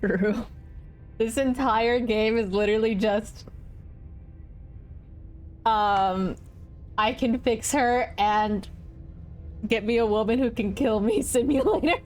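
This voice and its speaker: A woman game streamer with a slightly high voice. She chats casually through a playthrough and reads out choices, with soft game music behind her. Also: takes it slowly, long pauses. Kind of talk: casual playthrough commentary, reading choices aloud.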